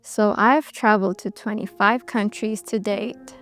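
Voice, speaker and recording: A woman's voice: medium pitch, measured pace, soft background music. Reflective young woman. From Bangladesh, raised in Singapore. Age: 20s. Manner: relaxed, reflective, with frequent ums and restarts. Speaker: documentary subject. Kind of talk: interview recollection.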